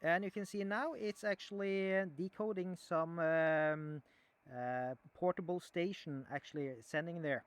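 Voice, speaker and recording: A man giving a casual, unscripted equipment demonstration as a hobbyist, explaining things in a halting, conversational way. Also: a little high, clear, resonant tone, no echo.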